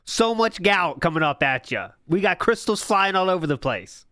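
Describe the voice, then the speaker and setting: A man's voice: high-pitched, very animated, normal speed, bright, forceful tone, dry recording, studio quality. A man hosting a podcast, giving sarcastic, mocking commentary on old posts and live chat and laughing often. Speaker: podcast host.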